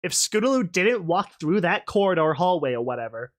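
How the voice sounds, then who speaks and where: Mid-pitched narrator-host: expressive dramatic reading, casual emphatic asides. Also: a little fast.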